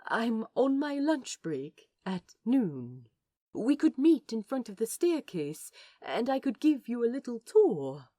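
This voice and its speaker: Gentle, bookish gentleman played by a woman for audio drama: effusive, proper, unhurried, very dry close recording. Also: fairly high pitch, very animated, no echo, heavily acted. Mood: eager, fluttery. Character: gentle, eager, flustered, bookish man, easily smitten. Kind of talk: fan fiction audio drama.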